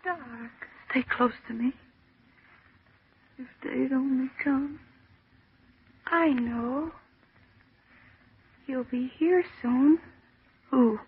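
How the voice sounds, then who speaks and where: A woman acting a frightened young woman trapped in the dark and growing delirious, in a slow, halting 1940s radio horror style. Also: high voice, husky, phone-quality sound, exaggerated voice. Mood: dazed, hushed. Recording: thin band-limited old radio recording.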